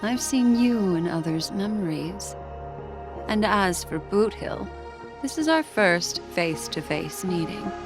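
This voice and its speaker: A woman's voice: medium pitch, animated, slow. A woman voicing a poised, mysterious woman who reads memories, speaking in a polished, courteous, lightly teasing way. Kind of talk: video game voice acting. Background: game music.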